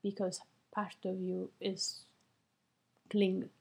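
A woman's voice: mid-range voice, monotone, takes it slowly, gravelly, warm tone, breathy edge. A woman, thoughtfully describing her own experience and searching for her words. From Italy. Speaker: interviewee, immigrant parent. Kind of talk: reflective personal account.

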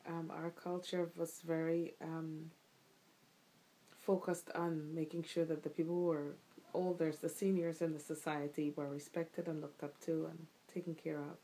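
A woman's voice: deep voice, little pitch movement, normal speed, mellow, low-quality recording. A middle-aged woman from Guyana, interviewed by her child, answering thoughtfully with many ums and restarts. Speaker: interviewee, the host's mother. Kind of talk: casual recorded interview.

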